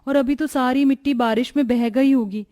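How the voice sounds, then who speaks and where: Humble poor young bride for animated story, female performer; high, earnest, courteous. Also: moderate pace, natural voice. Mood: worried. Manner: polite, earnest, soft-hearted. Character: humble, dutiful poor young daughter-in-law, gentle and patient.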